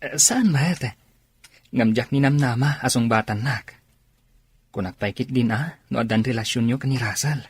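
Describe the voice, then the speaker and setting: A man acting a hopeful but uneasy young suitor with a raspy voice in a radio drama. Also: medium pitch, moderate pace, pauses often. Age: young adult. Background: faint music.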